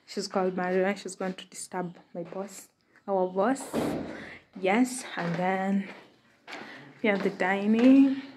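A woman's voice: mid-range voice, steady tone, slow, long pauses, background noise. A young Kenyan woman vlogging casually, chatting as she films a house tour on her phone and slipping Swahili into her English. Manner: chatty, mixes Swahili into English, laughs often. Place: rented house, indoors, walking room to room. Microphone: phone camera held in hand.

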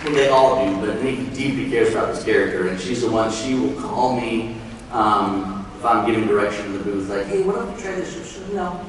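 A man's voice: on the low side, brisk. A middle-aged American man casually moderating a live panel as its host. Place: large convention stage, indoors. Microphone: captured by a distant camera in audience. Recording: very echoey hall, distant, loud crowd noise.

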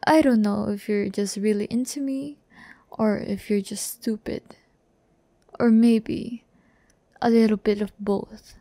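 A woman's voice: mid-range voice, steady tone, slow pace, long pauses, mellow, no echo. A woman playing a seductive, haughty yet tender demon in an ASMR roleplay, teasing and often near a whisper. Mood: tender, soothing.

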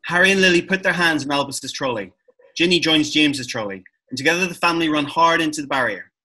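A man with a husky voice reading stage directions as a narrator over a video call. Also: on the high side, fast talker, bright, forceful tone.

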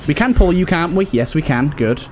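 A man with a fairly high voice talks through a video game as its host. He is chatty and thinks out loud in a mildly understated way. Game music plays behind him. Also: lively intonation, a little fast. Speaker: let's play host. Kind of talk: casual gameplay commentary.

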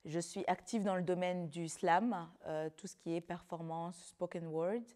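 A woman's voice: fairly low pitch, steady tone, a little slow, a little mellow. A woman. She is a slam and spoken word artist, speaking as someone who performs her own words. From Belgium (Brussels), of Rwandan descent. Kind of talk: spoken word performance alternating with interview talk.

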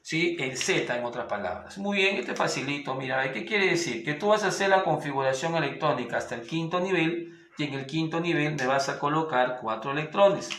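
A man's voice: fairly high pitch, lively intonation, fairly bright tone, a little hiss or hum. A man, teaching a chemistry lesson at a whiteboard and often voicing his students' questions himself. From Peru. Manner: addresses students directly and voices their questions; slows to a sing-song when dictating orbitals. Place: small room at a whiteboard. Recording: echoey room.

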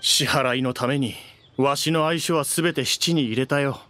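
An actor playing a grumpy, short-tempered middle-aged book collector in an anime video game, curt and pompous. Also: male voice, medium pitch, moderate pace, sharp tone, dry recording, natural voice. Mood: bitter.

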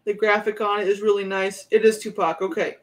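A woman's voice: medium pitch, little pitch movement, a little fast, a little hiss or hum. A woman in her thirties hosts a live clothing auction from a small bedroom at home. She describes each item in a loose, rambling way, full of fillers and asides. The sound is that of a webcam at her desk. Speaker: online auction host.

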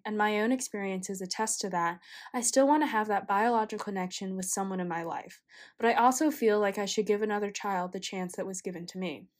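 A young woman in college, from China and raised in the United States, reading a quiet, reflective personal narration. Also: mid-range voice. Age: young adult. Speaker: college student.

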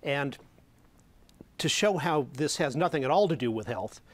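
A man's voice: a little high, animated, normal speed. A middle-aged man arguing bluntly and scornfully as a panelist on a televised political debate, recorded on a lapel mic in a studio. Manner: blunt and scornful, mocking opponents. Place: dark television studio set at a desk.

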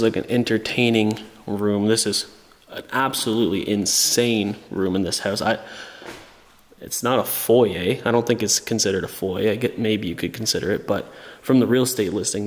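A young man with a cold and a lowish voice narrates his tour of an abandoned house. He is enthusiastic and chatty, full of fillers. He speaks into a small camera held at arm's length indoors. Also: moderate pace. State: sick with a cold. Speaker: urban explorer vlogger. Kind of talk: casual walkthrough narration.

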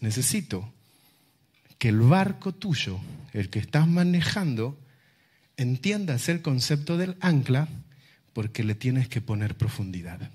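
Argentine man preaching in storyteller style. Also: fairly high pitch. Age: middle-aged. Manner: animated storyteller, rhetorical questions, dramatic pauses and repetition. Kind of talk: sermon with personal storytelling to a congregation. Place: large indoor church auditorium, on stage. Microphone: handheld microphone.

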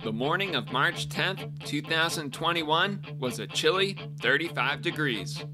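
A man in his thirties, host of a fishing show, narrating in a calm, steady scripted voice. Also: on the high side, unhurried, rough recording. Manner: calm, steady narration, excited exclamations on the boat. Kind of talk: scripted narration and casual chat on a boat. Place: small boat on a freshwater pond, outdoors. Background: guitar music.

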